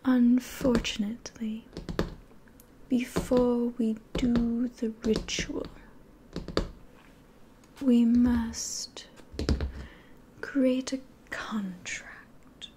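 Young woman doing a sly demon lady in asmr roleplay, breathy coaxing whisper, super slow and soft, mellow tone. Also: on the high side, little pitch movement, slight background noise. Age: 20s.

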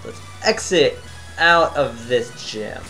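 A tired man giving casual, chatty commentary as he plays a video game, thinking out loud and humming to himself. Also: mid-range voice, animated, takes it slowly, soft background music. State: tired, voice near cracking. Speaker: gaming channel host.

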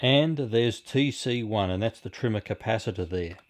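A middle-aged man with a fairly low voice talks through things like a hobbyist presenter. His delivery is casual and explanatory, halting and unhurried. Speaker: amateur radio hobbyist presenter. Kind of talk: casual explanatory video talk. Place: small indoor radio room.

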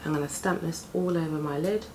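A woman in her thirties with a deep morning voice, chatting casually as she does her makeup at home in front of a close camera. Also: fairly quick. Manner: chatty, relaxed, talks while applying makeup. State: morning voice, deeper than usual. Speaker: beauty vlogger. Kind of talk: casual makeup tutorial talk. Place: home flat, indoors, window open. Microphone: camera on a desk at close range. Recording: home room with outside noise. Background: plane and street noise through the open window.

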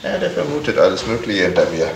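An actor playing a shrewd, courteous gentleman detective in a 1970s radio crime drama, speaking deliberately and probingly. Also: low-pitched, sing-song, creaky, full character voice. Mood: musing. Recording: noisy vintage radio play recording.

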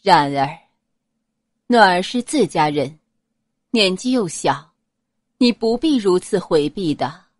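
Female reader as noble mother, gentle, dignified, animated pitch, dry close sound, period audiobook. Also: slow, pauses often, dry recording. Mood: calm.

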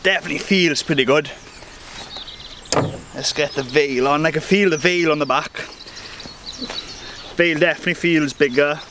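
A young male beekeeper talks casually and upbeat in a slightly higher voice, thinking aloud as he goes. He is recorded outdoors in a rural field by a camera a few metres off. Birdsong and a faint outdoor hiss sit behind him. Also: moderate pace, pauses often. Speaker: beekeeper reviewing gear. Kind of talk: casual product review vlog. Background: birdsong and faint outdoor ambience.

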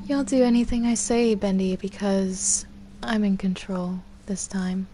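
A woman's voice: mid-range voice, fairly even intonation, unhurried, mellow, a little hiss or hum, natural voice. A woman playing a controlling, teasing villainess in an improvised game roleplay, bossy and taunting. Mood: smug, menacing. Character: controlling, teasing female villain Susie, enjoying torment.

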